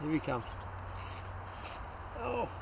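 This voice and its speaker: An older man talks to camera as a fishing show host. His voice sits a little high, and his delivery is chatty and exclamatory. He is recorded outdoors on a riverbank with faint background noise. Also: sing-song, very slow. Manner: chatty, exclamatory, addressing viewers directly. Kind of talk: casual fishing vlog commentary to camera.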